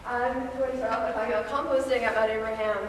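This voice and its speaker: A teenage girl giving a school presentation, hesitant and pausing with frequent ums. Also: fairly high pitch, fairly even intonation. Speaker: student presenter. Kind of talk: presentation to a board. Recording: echoey hall from far off, faint hiss.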